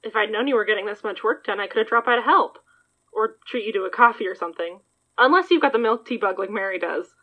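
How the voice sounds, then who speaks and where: A woman plays a friendly helper in a horror podcast drama. The talk is quick and casual, in a mid-range voice with a slightly muffled sound. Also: medium pitch, lightly acted. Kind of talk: naturalistic horror audio drama dialogue.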